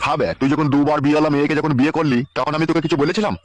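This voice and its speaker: A man's voice: fairly high pitch, steady tone, very fast, a little hiss or hum, lightly acted. A man playing an exasperated, aggrieved father who rants and curses in an amateur village comedy cartoon. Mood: aggrieved ranting. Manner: ranting, cursing, aggrieved. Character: exasperated foul-mouthed father scolding his grown son. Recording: loud music under the voice.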